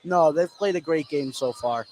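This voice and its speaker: A man's voice: on the high side, normal speed. A man giving conversational color commentary during a live basketball broadcast. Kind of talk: live sports analysis, conversational. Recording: noisy live gym broadcast.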